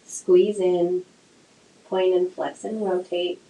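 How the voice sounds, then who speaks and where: A woman teaching a slow yoga class with gentle, soothing cues, her voice a little nasal from a cold. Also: on the low side, level tone. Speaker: yoga instructor. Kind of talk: guided yoga class instruction. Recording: echoey room, faint noise.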